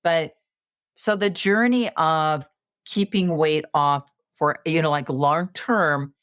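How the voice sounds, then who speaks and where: A woman's voice: deep voice, slow pace, no echo, clean studio sound. A woman in her late fifties, a podcast guest giving coaching advice in a conversational way, full of fillers and restarts. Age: 50s. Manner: animated, many fillers and restarts.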